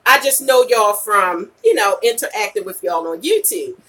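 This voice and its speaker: A woman's voice: mid-range voice, expressive, normal speed, sharp tone, husky, recorded from a distance, rough recording. A woman in her late forties giving candid, emphatic advice in a casual, slangy livestream chat, repeating her points for weight. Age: late 40s. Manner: emphatic and repetitive, punctuated with "okay?" and "you know". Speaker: vlogger and podcaster chatting live with viewers.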